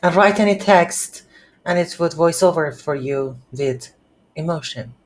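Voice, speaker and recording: A woman hosting a casual game development tutorial, speaking haltingly as she thinks aloud while working. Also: sits low. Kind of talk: casual screen-recorded software tutorial.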